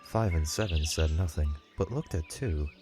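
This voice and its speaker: A male narrator tells a scripted story in audiobook style. His voice sits very low, and music plays clearly beneath it. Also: moderate pace.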